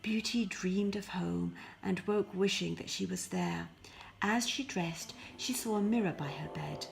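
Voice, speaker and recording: A woman narrating a scripted fairy tale as an expressive storyteller, often hushing her phrases. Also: on the low side, measured pace, gravelly. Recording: faint background noise.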